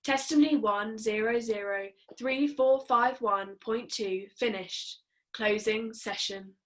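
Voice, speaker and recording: A woman's voice: fairly high pitch, slow, a bit bright, rough recording. A woman playing a cold, official system voice that passes judgment in formal, procedural announcements at a play reading. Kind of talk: scripted table read of a play.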